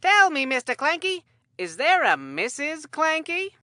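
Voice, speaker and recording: An actor voicing an excitable, corny, food-loving kid baseball commentator in a video game, with a hammy, drawn-out announcer delivery. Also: high voice, dry recording, studio quality, full character voice. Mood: chatty, joking.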